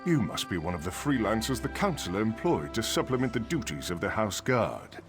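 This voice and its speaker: An actor playing a brusque, dutiful dark elf guard captain in a video game, curt, condescending and emphatic. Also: male voice, fairly low pitch, very animated, brisk, over music. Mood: dismissive, reluctant. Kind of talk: video game dialogue acting.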